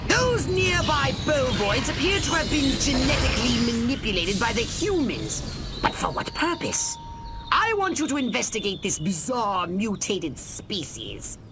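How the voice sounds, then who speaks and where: A man's voice: very high-pitched, big pitch swings, measured pace, over loud music, exaggerated voice. A man voicing an imperious alien commander giving formal orders in a video game.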